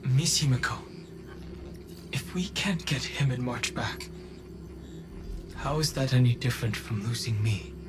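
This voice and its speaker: An actor playing a serious, loyal young man in a video game, speaking in an earnest, measured way. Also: medium pitch, close to an everyday voice. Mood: resolute. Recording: loud music under the voice.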